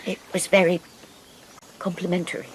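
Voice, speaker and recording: A woman's voice: lowish voice, flat delivery, normal speed, a little hiss or hum, natural voice. A woman as a prim, polite spinster. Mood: tender. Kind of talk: radio drama acting.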